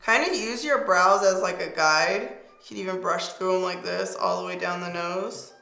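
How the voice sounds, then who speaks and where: A young woman talks her way through a makeup routine in a loose, playful way, her voice slightly hoarse and squeaky. She sits close to the camera in a small home studio. The sound carries a noticeable room echo. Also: normal speed, sharp tone, faint music behind. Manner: chatty, playful, talks while applying makeup. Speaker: beauty vlogger. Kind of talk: casual makeup tutorial chat. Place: home studio, pink backdrop.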